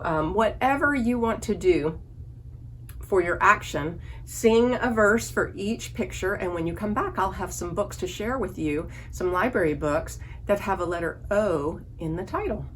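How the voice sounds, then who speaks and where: Slow-paced woman teaching young children. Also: lowish voice, expressive, a little hiss or hum. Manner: simple instructions and questions addressed to young children. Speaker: librarian leading a children's story time. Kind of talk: children's online lesson with songs.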